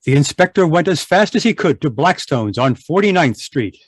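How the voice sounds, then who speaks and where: A man's voice: fairly high pitch, sing-song, normal speed, husky, no room sound, clean studio sound. A man narrating and announcing a radio mystery in old-time style.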